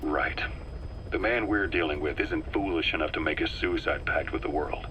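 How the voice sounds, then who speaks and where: A wary, embittered mercenary lieutenant weighs threats in a video game scene. His voice is low and forceful, with grave, dramatic emphasis. A slight noise runs underneath. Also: normal speed. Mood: grave, suspicious. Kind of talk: scripted video game dialogue.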